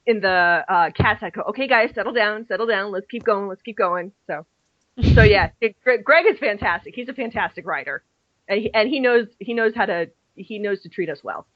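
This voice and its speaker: A woman's voice: mid-range voice, a little fast, no room sound, dull audio. A woman hosting a casual fan podcast, chatty and enthusiastic, often restarting her sentences. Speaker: podcast host.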